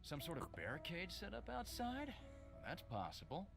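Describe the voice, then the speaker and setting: An actor playing a calm, authoritative police officer who speaks in a measured, steady way. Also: male voice, on the high side, creaky, over music, full character voice. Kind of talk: game voice acting.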